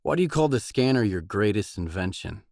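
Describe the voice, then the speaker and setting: An actor playing a gruff, guarded, hard-bitten mercenary in a video game, speaking in terse, blunt, businesslike lines. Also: male voice, lowish voice, big pitch swings, brisk, a little mellow, no room sound, clean studio sound. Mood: inquisitive, guarded.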